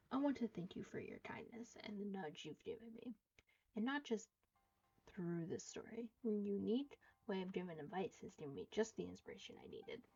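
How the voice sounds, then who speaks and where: A woman's voice: on the high side, dark tone, slightly rough, lo-fi sound. A woman live streaming a game, reading dialogue aloud and reacting, sometimes stumbling over the words.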